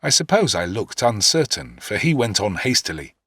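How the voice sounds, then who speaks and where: A man narrating a classic novel in the first person, in an expressive literary reading style. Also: fairly low pitch, moderate pace, slightly rough, no echo, clean studio sound. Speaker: audiobook narrator.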